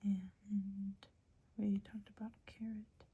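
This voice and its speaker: A woman whispering a phonetics lesson in an ASMR style, hesitant and often pausing to search for words. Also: medium pitch, flat delivery, dark tone. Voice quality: breathy. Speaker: teacher.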